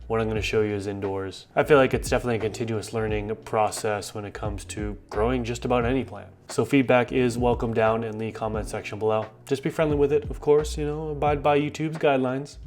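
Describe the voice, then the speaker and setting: A man's voice: mid-range voice, a little mellow. A man with a congested voice, chatting casually and upbeat as he hosts a gardening video and asks viewers for feedback. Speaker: gardening channel host.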